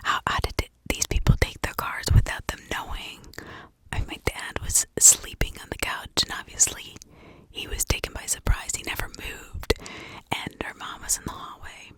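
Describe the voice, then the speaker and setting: An American woman whispering an ASMR true crime story in a hushed, informal, conversational way. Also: moderate pace, poor audio.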